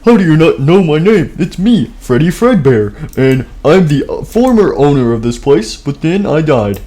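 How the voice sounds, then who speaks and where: A man voicing an excitable, melodramatic male animatronic bear in a shrill, loud voice. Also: slow. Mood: indignant.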